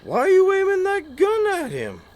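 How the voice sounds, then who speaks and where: Dopey high-voiced hillbilly, swooping wildly. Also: male voice, wildly swooping pitch, normal speed, full character voice. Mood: dim curiosity. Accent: rural Southern hillbilly drawl, put on. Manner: naive, dopey. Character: simple-minded, timid, childlike hillbilly younger-brother type. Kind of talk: comedy audio drama acting.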